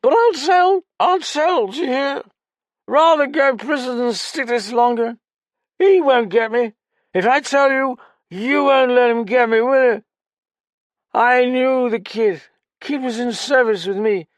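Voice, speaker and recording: A man's voice: extremely high pitch, unhurried, no room sound, pitched above the performer's own voice. A man voicing a drunken, terrified older male pianist who talks in slurred, clipped fragments and pleads. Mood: frantic. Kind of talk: audiobook character voice.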